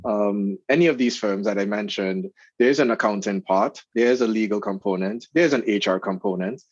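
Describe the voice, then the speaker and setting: A man with a Trinidadian accent, a guest in an interview, speaking in an earnest, measured way with fillers. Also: on the low side, dry recording, highs cut off. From Trinidad and Tobago. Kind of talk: casual interview chat.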